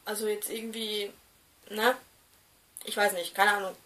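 A woman's voice: medium pitch, little pitch movement, normal speed, a bit bright, recorded from a distance. A woman in her mid twenties, vlogging casually to camera from a small room, hesitant with many fillers and restarts. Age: 20s. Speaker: doll collector vlogger.

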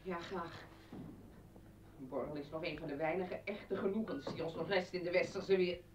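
A woman's voice: lowish voice, fairly even intonation, normal speed, distant mic, natural voice. An actress playing a weary, reproachful wife and mother in a radio drama, exasperated and pointed in manner. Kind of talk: Dutch radio drama acting. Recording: echoey, muffled, noisy old radio recording.

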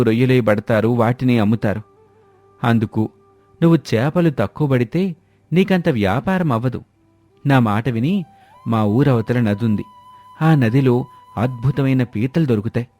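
Humble village man for an animated moral story, played by a male actor: mellow, earnest, plain-spoken, over soft music. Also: medium pitch, dry recording, close to an everyday voice. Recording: music under the voice.

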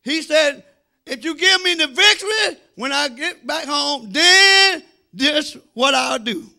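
A middle-aged man preaching an animated, emphatic sermon, repeating phrases and calling for response in a church, on a handheld microphone. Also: very high-pitched, slow pace, vocal fry. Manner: emphatic, repeating phrases, swinging between shouts and quieter asides. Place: church sanctuary, speaking from the front.

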